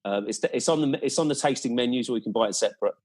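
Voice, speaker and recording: A man's voice: medium pitch, very fast, darkish tone. Man casually recounting travels with enthusiasm. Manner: informal, enthusiastic, colloquial. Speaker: podcast guest. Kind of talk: casual travel anecdotes and recommendations.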